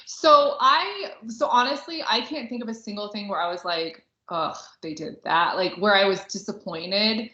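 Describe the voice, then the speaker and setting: Bright-voiced woman chatting in a roomy space. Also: medium pitch, moderate pace, hardly any pauses. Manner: chatty, informal, heavy use of "like". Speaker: podcast co-host. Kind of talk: casual book and film discussion. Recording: roomy echo, slightly dull sound.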